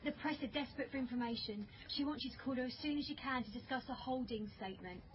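A woman's voice: high voice, quick pace, lightly acted. A woman playing an efficient junior British police officer in a naturalistic radio drama. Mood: brisk, polite. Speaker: actor in radio crime drama. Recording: muffled broadcast with clear background noise.

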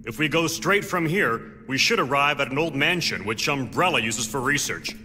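A man's voice: mid-range voice, expressive, moderate pace, background noise, close to an everyday voice. A man with an American accent playing a stern, curt police team superior in a video game cutscene. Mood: measured, businesslike. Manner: curt, authoritative. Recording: long reverb, music behind.

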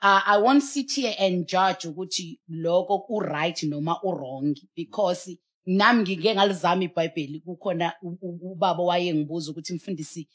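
A woman's voice: on the low side, moderate pace. A South African woman speaking as a pastor on a studio talk show, emphatic and exhorting, mixing in English. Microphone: headset.

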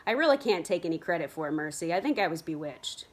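A woman's voice: on the low side, very animated, quick pace, husky. A woman voicing a spirited, headstrong teenage girl who pleads earnestly, reading from a novel. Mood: earnest pleading.

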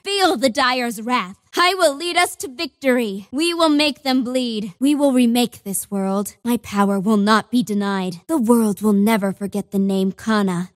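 Video game villainess: young cult warlord, bloodthirsty and commanding, slightly high register, theatrical menace, very dry studio sound. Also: female voice, dry recording. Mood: menacing, triumphant. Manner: imperious, menacing, theatrical declaiming. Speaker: voice actress.

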